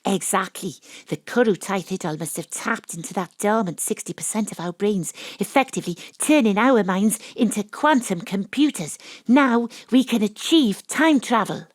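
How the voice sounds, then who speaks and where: A woman's voice: mid-range voice, animated, moderate pace, hardly any pauses, no echo, clean studio sound, lightly acted. A woman playing a brash, cocksure amateur detective in an audio drama, narrating theatrically with a knowing, conspiratorial manner. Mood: confident explaining.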